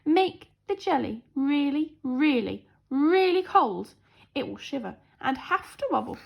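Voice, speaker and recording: A woman's voice: high voice, animated, a little slow, vocal fry, muffled recording, pitched above the performer's own voice, exaggerated voice. A woman voicing the smallest guest at a storybook royal party, proud, eager and emphatic. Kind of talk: storybook character voice.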